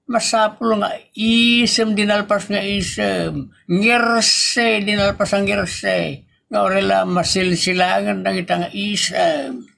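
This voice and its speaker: A man's voice: high-pitched, fairly even intonation, takes it slowly, gravelly, fairly bright tone, full character voice. A man playing an exasperated, teasing older village father in an Ilocano comedy drama, stammering and exclaiming with an Ilocano accent. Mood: exasperated, coaxing. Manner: stammering, repeated interjections and exclamations.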